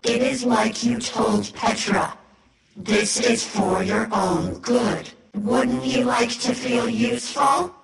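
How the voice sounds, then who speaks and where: A woman voicing an eerily calm, coaxing female entity in a video game, ominously soothing, with an echoing effect on the voice. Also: a little high, takes it slowly, gravelly, exaggerated voice. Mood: coldly coaxing. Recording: heavy echo over music.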